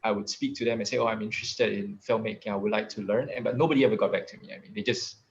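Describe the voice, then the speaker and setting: Soft-spoken man on dull call audio. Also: on the low side, fairly even intonation, rapid-fire. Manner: earnest, hesitant, many uhs. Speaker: film director, panelist. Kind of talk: casual panel talk.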